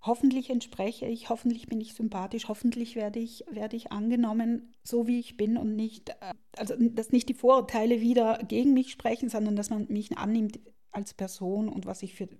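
She is a middle-aged woman with a South Tyrolean accent, reflecting on personal matters in an interview. Her voice is mellow, with plenty of creak. She feels her way through sentences, often correcting herself as she goes. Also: on the high side, steady tone, fairly quick. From South Tyrol, Italy. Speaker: interview guest.

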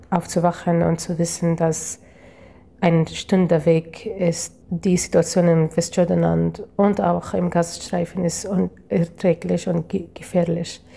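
A young woman with an Arabic accent, a piano student answering interview questions in a halting way, searching for words. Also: fairly low pitch, creaky, mellow. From Palestinian town in Israel.

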